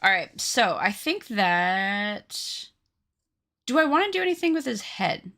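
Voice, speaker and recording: Woman, late twenties, streamer; bright tone with some fry; chatty, teasing, self-deprecating. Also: mid-range voice, big pitch swings, a little slow. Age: 20s. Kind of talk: casual live-stream chat while crafting.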